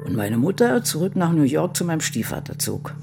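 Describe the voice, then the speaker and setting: Radio drama: ageing female novelist, reflective diarist, expressive melody, music underneath. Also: on the high side, a little fast, vocal fry. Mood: brisk, assertive. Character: Patricia Highsmith, ageing woman crime novelist, solitary and brooding. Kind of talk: literary radio drama, diary monologue.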